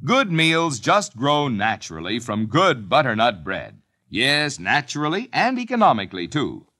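A male announcer reading a 1950s radio commercial in a smooth selling pitch. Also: huge pitch swings, normal speed, soft background music. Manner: warm selling pitch, very sing-song.

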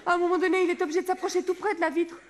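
A woman's voice: extremely high pitch, level tone, rapid-fire, slight background noise. A middle-aged woman performing a wistful theatrical monologue on stage, recorded from a distant camera in the hall. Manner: dreamy, wistful, drifting into hushed murmurs. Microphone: far camera in the audience.

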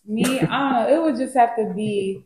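A woman's voice: fairly high pitch, normal speed, a little mellow, roomy sound, poor audio. A woman in her twenties chatting as a blind date contestant, blunt but hesitant. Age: 20s. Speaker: dating show contestant. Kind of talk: casual chat.

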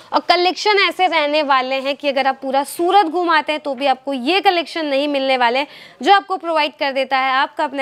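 A woman's voice: sits high, fairly bright tone. A young woman from India presenting clothes for a wholesale outlet in a fluent, upbeat sales patter, recorded indoors in a shop on a lapel mic. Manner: upbeat, fluent, repetitive sales patter mixing in English words. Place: indoor clothing store with stocked shelves.

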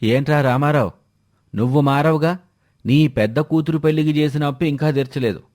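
A man's voice: fairly high pitch, a little fast, darkish tone, natural voice. A man voicing a blunt village moneylender who scolds and demands repayment. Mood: scolding.

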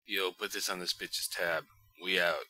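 A man's voice: sits low, steady tone, measured pace, noisy background. A man voicing a crude, aggressive young swordsman, blunt and combative, in a comedic anime parody dub. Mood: rude.

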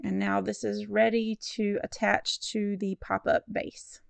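A woman's voice: mid-range voice, level tone, measured pace, vocal fry, no room sound. A woman narrating a step-by-step card-making tutorial in a friendly, encouraging, instructive way. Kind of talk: step-by-step craft tutorial voice-over.

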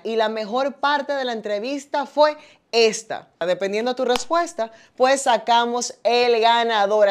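A woman from Venezuela in her thirties, talking as a talk show host and presenter. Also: fairly high pitch. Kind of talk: presenter talk, promos and casual interviewing.

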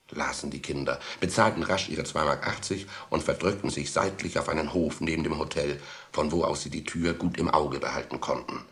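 A man's voice: a bass voice, a bit bright. A man narrating a children's radio play as a scripted storyteller.

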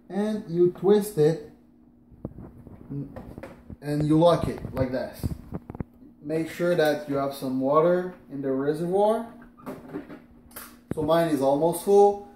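A man's voice: fairly high pitch, warm tone. A man in his thirties chatting through a casual how-to demonstration in his home kitchen, hesitant with fillers and restarts, recorded by a camera on the counter. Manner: chatty, hesitant with fillers and restarts, moving about while working. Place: home kitchen indoors at night. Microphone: camera on the counter at a distance. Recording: echoey kitchen, faint room noise.

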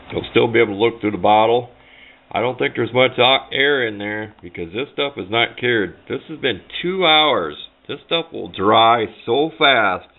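A man giving a casual, unscripted how-to at a workbench, talking in a plain, rambling, folksy way. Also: medium pitch. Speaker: hobbyist demonstrating a homemade build. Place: indoors at a workbench. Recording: muffled, narrow phone-like camera audio.

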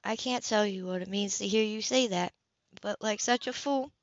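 Wistful young mother for an audiobook played by a woman reader, soft and hesitant, slightly dull recording. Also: mid-range voice, moderate pace, dry recording, lightly acted. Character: weary, unhappy, hesitant young mother in a novel.

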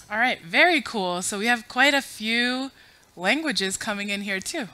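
A woman's voice: a little high, takes it slowly, pushed and bright, no room sound. A woman in her thirties, originally from Afghanistan, giving a chatty, self-deprecating conference talk to a room full of people and running audience polls. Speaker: conference speaker. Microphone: podium mic.

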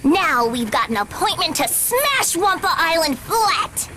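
A woman voicing a bratty, scheming evil teenage niece in a cartoon video game, with sneering, drawn-out sarcasm. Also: extremely high pitch, sing-song, noisy background, heavily acted. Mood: gloating.

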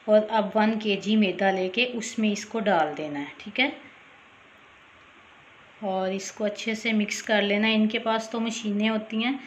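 A woman narrating a cooking tutorial as voice-over, talking casually and directly to her viewers, often pausing with a quick "okay". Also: medium pitch, slight background noise. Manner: chatty, repeats "theek hai", addresses viewers directly. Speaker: cooking channel host.

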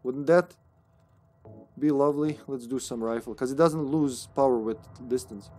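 Joking Serbian-accented streamer. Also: male voice, medium pitch, moderate pace, a little mellow, faint music behind. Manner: joking, laughs often. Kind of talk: casual live gameplay commentary.

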